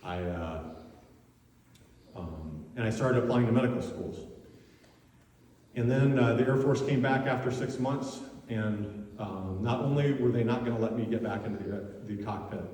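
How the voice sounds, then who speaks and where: A man giving a personal talk in a halting way, with many fillers and restarts. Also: lowish voice, a little mellow. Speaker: speaker giving a presentation. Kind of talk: personal talk about faith and career. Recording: echoey hall from far off.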